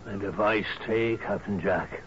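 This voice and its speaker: A man's voice: on the low side, steady tone, lightly acted. An actor playing a sad, lonely and resolute seafaring man, courteous and formal, in a 1970s radio drama. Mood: mournful resolve. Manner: slow, formal, melancholy. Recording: dull, noisy old radio recording.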